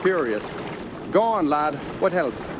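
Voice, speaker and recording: An actor playing a learned, middle-aged rationalist uncle in a radio horror drama, measured and lecturing, encouraging yet dismissive. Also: male voice, on the high side, sing-song. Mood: curious. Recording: clearly noisy outdoor ambience.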